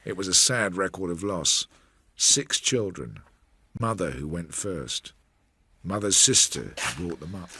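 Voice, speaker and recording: An actor playing a Victorian investigator, narrating a radio drama in a reflective, deliberate and understated way. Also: male voice, sits low, lively intonation, bright, forceful tone, slightly rough, no echo, heavily acted. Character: measured Victorian investigator recounting a failed case.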